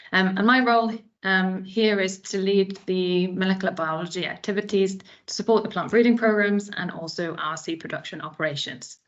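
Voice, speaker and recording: A woman in her thirties from South Wales talks through a science presentation in a measured, explanatory way, pausing on ums. Her voice sits at an ordinary pitch for a woman. The audio is dull and lo-fi, like a webinar feed. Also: a bit bright. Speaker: genomics specialist presenting a webinar.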